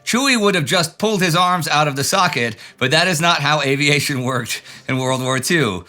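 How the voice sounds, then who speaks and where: A man's voice: a little high, moderate pace, pushed and bright, soft background music. A man hosting a show, reading out viewer letters and comments with dry, joking asides. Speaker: show host.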